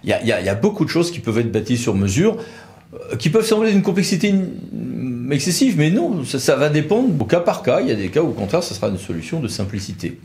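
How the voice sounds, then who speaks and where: Guy doing a chatty legal explainer, mid-pitched voice, colloquial lawyer talk. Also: very animated, fast, creaky, darkish tone. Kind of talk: informal legal explainer discussion.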